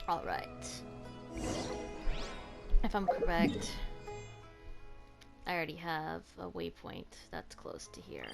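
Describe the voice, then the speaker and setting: A woman's voice: fairly low pitch, sing-song, slow, creaky, pauses often, over loud music. A woman gaming streamer giving casual reaction commentary, with informal, wry asides.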